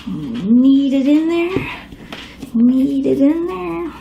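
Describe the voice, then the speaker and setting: A woman's voice: high-pitched, very animated, drawn-out words, gravelly, dull audio, very noisy. A woman hosting a casual home cooking how-to in her kitchen, chatting to her dogs as she works. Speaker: home cook demonstrating a recipe.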